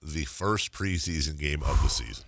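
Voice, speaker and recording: Nasal low-voiced joking radio host man. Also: animated, moderate pace, gravelly, no room sound, studio quality. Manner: joking, self-mocking, laughs often. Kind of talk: casual morning radio banter. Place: radio studio. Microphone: studio microphone.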